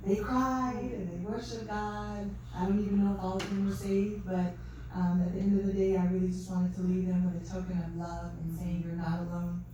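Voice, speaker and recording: Soft-spoken woman, low mellow voice, earnest testimony, echoey hall from afar. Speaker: event speaker. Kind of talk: testimony talk. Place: event hall. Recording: distant mic, echoey hall, faint noise.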